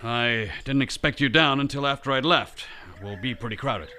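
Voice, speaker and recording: A man playing a gruff, brusque ranch boss in an audio drama set in the 1930s. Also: mid-range voice, moderate pace, sharp tone, lightly acted. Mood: put out. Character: gruff ageing American ranch-owning boss.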